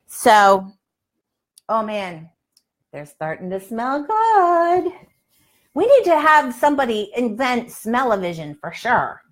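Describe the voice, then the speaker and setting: High-voiced chatty older woman, live cooking host. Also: big pitch swings, slow pace. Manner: chatty, folksy, emphatic. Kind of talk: casual live cooking chat to viewers. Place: home kitchen, indoors.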